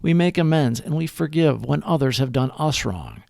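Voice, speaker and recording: A man reads a reflective daily meditation in an earnest, instructive manner. His voice has plenty of fry and moves through a very animated range of pitch. The delivery is measured, and the sound is dry, with little room around it. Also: no room sound. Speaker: reader of a daily recovery meditation. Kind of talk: scripted read-aloud reflection.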